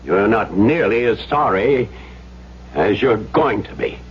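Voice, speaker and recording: A man's voice: on the low side, animated, takes it slowly. An actor playing an angry, menacing businessman in a radio drama, accusing and domineering. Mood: angry, threatening. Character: angry, menacing businessman George confronting an employee. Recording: dull vintage radio recording, faint hum.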